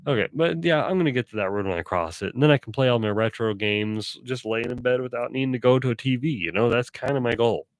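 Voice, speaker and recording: A man chats to his live-stream viewers at an ordinary pitch, rambling along and correcting himself as he goes. His voice sounds stuffy and a little sore. The sound is dry and close, with no room echo. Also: fast talker, hardly any pauses. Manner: rambling, self-correcting, chatty. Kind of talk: casual live-stream chat.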